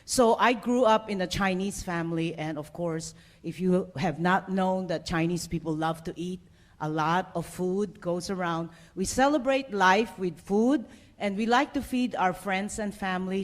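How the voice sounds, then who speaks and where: A middle-aged woman from the Philippines speaks to a conference audience at an ordinary mid pitch. She talks about herself as a physical therapist, loose and conversational, with frequent "uh" and "you know". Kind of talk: personal story told to a live audience.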